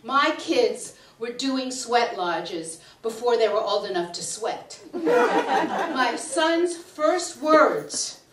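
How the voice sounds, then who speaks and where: An American woman in her sixties reading a comic monologue on stage, theatrical and emphatic, with deliberate pauses. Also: fairly high pitch, sing-song. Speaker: humorous storyteller. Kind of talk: live comic monologue read to an audience. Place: small stage venue with curtains. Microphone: microphone on a stand. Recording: echoey stage recording, distant, audience noise. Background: audience laughter, loud noise.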